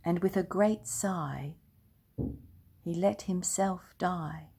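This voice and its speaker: Slow, gentle older woman reading a story. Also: on the low side, vocal fry, slightly rough. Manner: slow, gentle and hushed, dropping to near whispers. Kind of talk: reading a children's storybook aloud.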